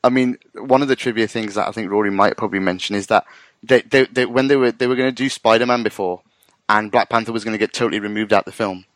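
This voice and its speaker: A man, co-hosting a podcast in casual chat, excitable and quick to swear and laugh. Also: on the low side, no room sound. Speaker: podcast co-host.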